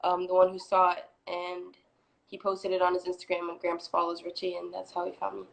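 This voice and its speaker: A woman in her twenties from Florida, a singer answering interview questions in a relaxed, chatty way with many fillers. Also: fairly low pitch, fairly quick, creaky. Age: 20s. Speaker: guest, singer. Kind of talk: casual interview.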